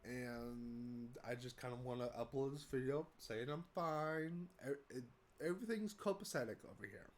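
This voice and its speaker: A congested young man from the United States, stuffy from a cold. He talks to the camera in a loose, rambling way, with plenty of ums, uhs and restarts, and his pitch sits in an ordinary middle range. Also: moderate pace. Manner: rambling, many fillers and restarts. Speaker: vlogger. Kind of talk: casual update talk to camera.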